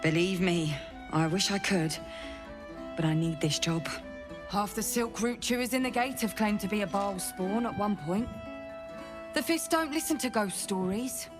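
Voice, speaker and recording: A woman plays an earnest, dogged city guard investigator in a fantasy game. She speaks at a middle pitch, deliberate and confiding, with real intensity. Music plays behind her. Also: close to an everyday voice. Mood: resigned, matter-of-fact. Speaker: video game character. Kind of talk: dramatic game dialogue. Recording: background music under the voice.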